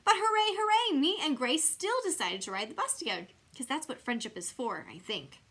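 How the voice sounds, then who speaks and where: Storybook role: dramatic, excitable six-year-old girl, voiced by a woman, bright and forceful, big pitch swings, childish phrasing. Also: brisk. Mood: grumbling, confiding. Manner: childish exclamations and quirky grammar. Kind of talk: animated children's storybook character voice.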